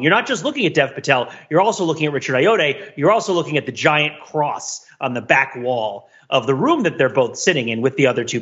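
A man's voice: on the high side, fairly bright tone. Male podcast co-host, upper-register voice, analytical and discursive, thinking aloud; slightly muffled recording. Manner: wordy, thinking aloud with frequent repeats and "right?" tags. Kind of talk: casual film discussion, analytical.

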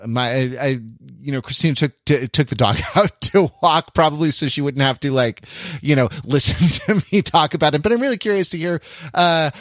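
A man hosting a podcast in a casual conversational style, thinking aloud in a halting, rambling and reflective way. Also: a little high, fairly bright tone, no room sound, clean studio sound. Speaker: podcast host.

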